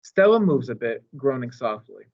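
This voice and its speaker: A man reading a children's story aloud as narrator, in an expressive storytime style. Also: mid-range voice, normal speed, highs cut off. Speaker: storytime reader.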